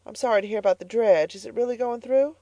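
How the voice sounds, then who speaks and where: A woman's voice: quick pace, warm tone, no echo, natural voice. A woman doing an earnest young farm girl. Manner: high and pleading, earnest. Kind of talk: audiobook character voice.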